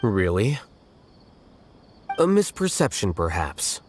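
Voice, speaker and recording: An aloof young scholar for a video game. The voice is on the low side, dry and detached, with precise, blunt phrasing over audible background ambience. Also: male voice, sing-song, slow, a little hiss or hum.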